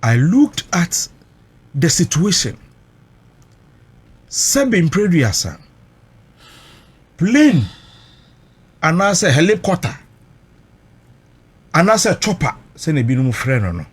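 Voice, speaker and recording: Expressive Ghanaian man with a husky voice. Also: on the high side, takes it slowly, long pauses. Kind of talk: emotional personal recounting, mixing Twi and English.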